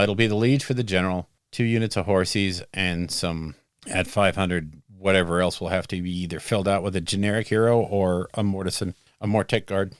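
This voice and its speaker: A man with a somewhat low voice co-hosts a casual wargaming podcast. He is easygoing and self-deprecating and thinks out loud. The recording is close and dry. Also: clear, resonant tone, no room sound. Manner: self-deprecating, bursts into loud laughs, hesitant with points. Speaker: podcast co-host. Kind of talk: casual chat about tabletop wargaming.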